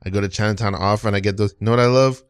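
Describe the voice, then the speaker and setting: A man's voice: fairly low pitch, fairly even intonation, racing, clean tone, no echo, studio quality. A man chatting casually as a podcast host, effusive and wandering off into stories. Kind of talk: casual chat.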